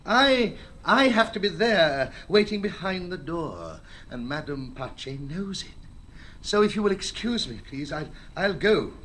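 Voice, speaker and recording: A man's voice: high voice, very animated, unhurried, a bit bright, exaggerated voice. An actor playing an insistent, courteous, agitated father in a stage drama, with a theatrical, pleading delivery. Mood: anxious, pleading. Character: insistent, courteous, agitated father defending his strange story.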